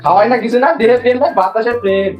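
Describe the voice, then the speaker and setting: A man's voice: very high-pitched, steady tone, racing. A man acting a cheeky, mocking young grandson in an amateur comedy skit. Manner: teasing, cheeky. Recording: echoey sound, faint music behind.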